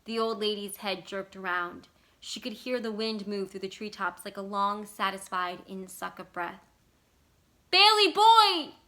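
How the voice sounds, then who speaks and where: Bright-voiced woman reading a story. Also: mid-range voice, little pitch movement, normal speed. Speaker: library staff member reading a story aloud. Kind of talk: scripted literary narration.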